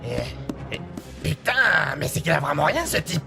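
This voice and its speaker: A man playing an irritated gangster henchman with a heavily creaky voice in a French audio drama. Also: a little high, very animated, moderate pace, full character voice. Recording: music behind the voice.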